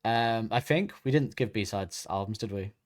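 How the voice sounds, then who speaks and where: Low-voiced man in a casual podcast chat. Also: normal speed. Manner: chatty, reads facts from notes, corrects himself often. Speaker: podcast co-host.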